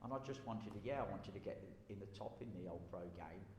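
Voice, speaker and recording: A man's voice: fairly low pitch, fast, darkish tone. A middle-aged man reminisces casually in a rambling way, repeating his phrases as he goes. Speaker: interviewee, former pro boxer and amateur coach. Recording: echoey hall with faint background noise.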